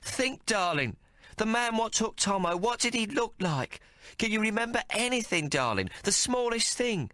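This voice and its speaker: A man's voice: high voice, lively intonation, moderate pace, sharp tone, dry recording, studio quality, voice pushed up. A man voicing a desperate mother, fierce and loving, pleading and screaming for her child.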